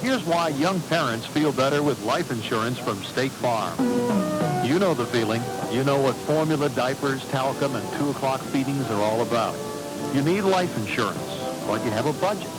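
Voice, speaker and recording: A man's voice: medium pitch, lively intonation, moderate pace, rough recording. A man reading a scripted radio commercial. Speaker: commercial announcer. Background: loud music.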